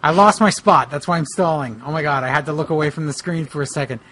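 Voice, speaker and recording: A male podcast co-host bantering casually and comically, often drifting off on joking asides. His voice is high and forceful with a husky edge. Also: fast talker. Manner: digressive, joking.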